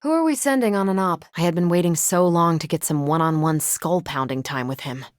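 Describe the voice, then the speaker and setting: A woman acting as a battle-ready video game superheroine. Her voice sits on the low side and is recorded close and dry. She is loyal and self-assured, with a wry, teasing edge to her delivery. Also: brisk, dry recording, close to an everyday voice. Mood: fired up.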